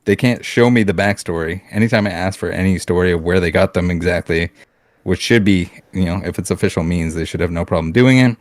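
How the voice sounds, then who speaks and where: Brief: low-pitched Canadian man, blunt casual podcast co-host, dry close sound. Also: a little fast, dry recording. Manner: blunt, heated ranting with swearing and restarts.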